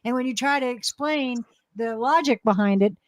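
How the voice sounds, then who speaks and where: A woman's voice: on the high side, moderate pace, no echo, clean studio sound. A middle-aged woman, chatting casually on a live panel. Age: 50s or older.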